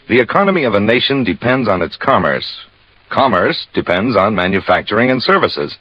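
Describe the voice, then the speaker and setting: A man's voice: fairly low pitch, breathy edge. A man announcing in a formal, solemn, declamatory 1950s radio style. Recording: dull vintage radio recording.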